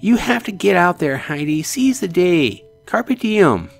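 A man's voice: high voice, sing-song, unhurried, creaky, faint music behind, pitched above the performer's own voice, heavily acted. A man voicing a doting, fussing middle-aged mother in a visual novel, cajoling and theatrical. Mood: urging, affectionate.

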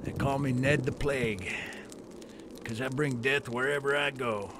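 A man's voice: medium pitch, steady tone, measured pace, natural voice. An actor playing a brash, murderous male outlaw boss in a western video game, with a theatrical delivery. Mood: menacing. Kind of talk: dramatic video game acting. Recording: loud storm and effects noise.

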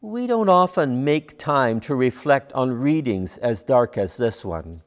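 An older man delivering a measured, scripted sermon as a preacher, deliberate in his phrasing. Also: medium pitch, expressive. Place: large church sanctuary, at a lectern. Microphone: lapel mic. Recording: long church reverb.

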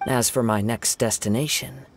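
An actor playing a gentle, reflective young wandering samurai in a video game, soft-spoken, measured and formal. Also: male voice, medium pitch, steady tone, a little hiss or hum, lightly acted. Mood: calm, polite. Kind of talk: scripted game voice acting.

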